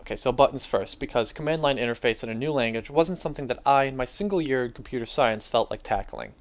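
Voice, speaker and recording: A man's voice: medium pitch, fast. A young adult man giving a dramatic reading of his own first-person story.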